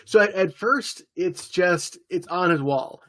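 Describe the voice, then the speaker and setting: A man co-hosting a casual TV podcast, recapping the plot in a relaxed way with playful impressions. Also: high-pitched, unhurried. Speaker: podcast co-host. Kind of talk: casual chat about a TV episode.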